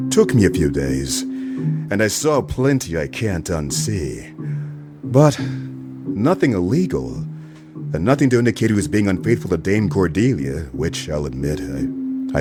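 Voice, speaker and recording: An actor playing a weary, suspicious private detective in a noir radio play, with a dry, sardonic deadpan manner. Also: male voice, low voice.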